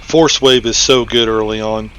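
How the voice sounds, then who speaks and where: A man's voice: fairly low pitch, fairly even intonation, moderate pace, fairly bright tone, a little hiss or hum. A man giving casual commentary as he plays through a game. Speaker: gaming video host.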